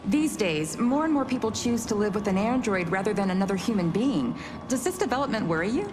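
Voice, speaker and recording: Poised newswoman played by a woman, lively intonation. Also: medium pitch, a little fast, noisy background, natural voice. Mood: probing. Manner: formal, polished, probing. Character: poised female TV news reporter. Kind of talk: broadcast news narration and interview.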